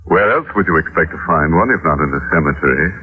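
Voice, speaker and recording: Deep, droll vintage radio horror host, man. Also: quick pace, slightly rough. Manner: mock-sinister, droll, punning. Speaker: radio mystery show host. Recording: muffled old radio recording, loud music.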